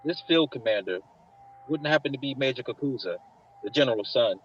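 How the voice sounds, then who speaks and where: An impatient, angry army captain acted by a man at a medium pitch, blunt and commanding. It is a drama table read on a dull microphone. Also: lively intonation, fairly quick, slight background noise, natural voice. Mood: seething.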